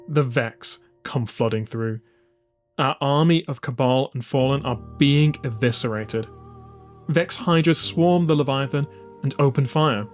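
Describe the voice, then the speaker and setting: A man narrates an audiobook in a dramatic, scripted style. His voice sits at a middle pitch and moves slowly, with music beneath it. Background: music under the voice.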